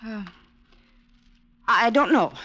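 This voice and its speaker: A woman's voice: fairly high pitch, takes it slowly, a bit bright, muffled recording. An actress playing a glamorous, teasing young woman in a 1950s radio drama. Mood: frightened. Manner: teasing, affectionate.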